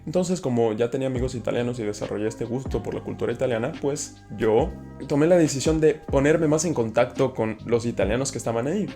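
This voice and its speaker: A man from Ecuador with an Ecuadorian Spanish accent, explaining casually to camera in an animated, enthusiastic way. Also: mid-range voice, fast talker, faint music behind. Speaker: host.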